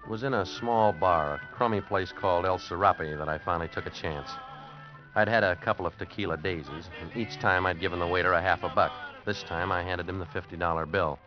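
A deep-voiced American man, wary and streetwise, tells of a risky deal in hardboiled style as acted by a man. It is old radio drama, muffled, with music underneath. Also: fairly quick, natural voice. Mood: tense, brooding. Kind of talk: 1940s-50s radio drama acting, hardboiled first-person narration. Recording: dull vintage radio recording with music behind.

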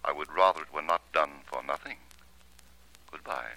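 Deep, sly fortune teller. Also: male voice, bright, forceful tone, no room sound, heavily acted, poor audio. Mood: insinuating, pressing. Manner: formal, deliberate, theatrical. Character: sly, insistent male fortune teller, grandly mysterious. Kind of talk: 1940s radio drama acting.

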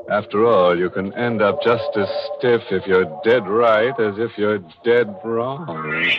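Slow, low-voiced macabre radio host. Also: male voice. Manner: macabre, tongue-in-cheek, punning. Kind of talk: 1940s radio horror hosting, scripted. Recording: dull old radio recording with music.

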